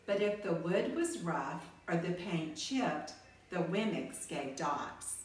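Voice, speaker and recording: Woman storyteller, lower-pitched, expressive read-aloud for children; very echoey room with faint noise. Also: slow, recorded from a distance.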